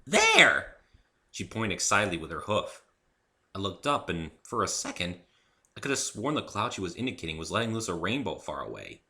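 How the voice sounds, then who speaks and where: A man reading his own story aloud in the first person, in an expressive, theatrical narrating style. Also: low-pitched, fairly quick.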